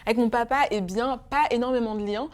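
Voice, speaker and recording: Young woman, hesitant and soft-spoken. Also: on the high side, fairly even intonation, fast talker. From Congo. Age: 20s. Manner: hesitant, many fillers and restarts. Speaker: guest. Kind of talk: emotional interview.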